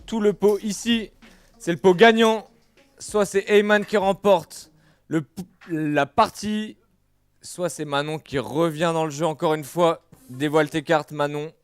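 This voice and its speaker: High-pitched man calling a poker game. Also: measured pace, smooth, no echo. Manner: animated, informal, exclaiming. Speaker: poker dealer and announcer calling hands. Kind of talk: live poker commentary. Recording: music playing faintly behind the voice. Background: faint music.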